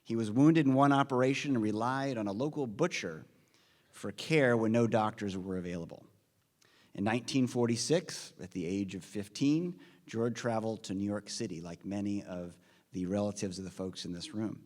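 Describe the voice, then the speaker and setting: A man giving an earnest, prepared introduction of a guest speaker at a conference forum, in a measured delivery. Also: lowish voice, a little mellow. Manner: earnest, measured delivery, reads off names and figures. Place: large conference hall. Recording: long reverb of a large hall.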